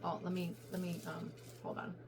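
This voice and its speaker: A middle-aged woman from Virginia chatting casually to viewers in a rambling, self-correcting way while her voice goes hoarse. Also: lowish voice, monotone, normal speed, a crowd in the background. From coastal Virginia, US. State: voice going hoarse. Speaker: reseller vlogger. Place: home room with piles of stuff. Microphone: phone on a ring light.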